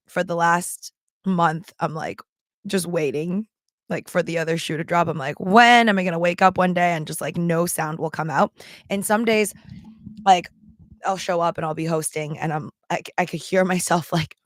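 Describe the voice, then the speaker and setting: A woman with a raspy, strained voice chatting casually to camera as a vlogger, rambling with many ums and likes while she talks around a throat candy. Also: lowish voice, no echo, clean studio sound. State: strained, worn voice. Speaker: livestream seller and vlogger.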